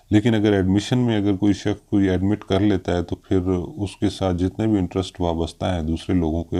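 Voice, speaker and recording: Deep-voiced Pakistani man, slow and halting. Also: warm tone. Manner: halting, with frequent hesitations. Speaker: law lecturer. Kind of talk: explanatory legal lecture, mixing in English terms.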